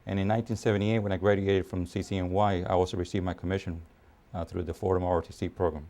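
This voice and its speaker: A man in his fifties from Puerto Rico, raised in New York, speaking as an army officer in a documentary interview, measured with frequent pauses and fillers. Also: deep voice, flat delivery, dark tone. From Puerto Rico, raised in New York City. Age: 50s.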